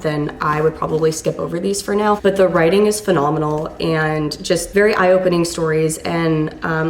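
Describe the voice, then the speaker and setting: A young woman with a hoarse voice, still recovering from illness, chatting gushingly about books she read, with lots of "like" and "um". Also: on the low side. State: hoarse, voice not fully back after illness. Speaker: book vlogger. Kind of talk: casual book review vlog. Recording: echoey room, faint background noise.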